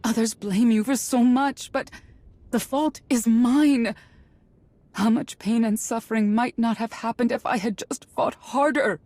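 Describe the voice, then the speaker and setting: An actress playing a sorrowful middle-aged mother in a video game, earnest and halting, with a husky voice. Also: on the high side, darkish tone, no room sound. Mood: remorseful pleading. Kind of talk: video game character acting.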